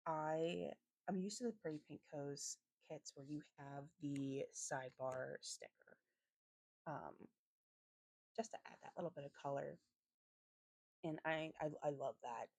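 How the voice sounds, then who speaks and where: A woman with a slightly raspy voice giving a casual craft voiceover, rambling hesitantly and often correcting herself as she goes. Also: low-pitched, a little mellow, no room sound. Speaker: planner vlogger and host.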